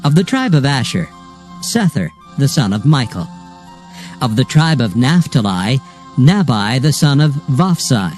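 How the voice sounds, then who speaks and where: A man narrating scripture from a script in a measured, solemn manner. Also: medium pitch, big pitch swings, a little mellow, soft background music.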